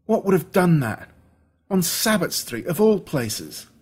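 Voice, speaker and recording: A man's voice: high voice, sing-song, a little slow, slightly rough, pitched above the performer's own voice, exaggerated voice. An Australian man voicing a distraught, grieving young boy in a storybook, speaking emphatically. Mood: upset, disbelieving. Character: distraught young boy mourning his mauled dog. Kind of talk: storybook character voice.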